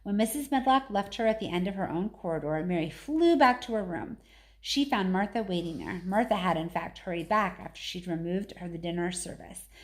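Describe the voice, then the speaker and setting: A woman reading a storybook aloud in expressive narration. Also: on the low side, fairly quick. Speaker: story reader.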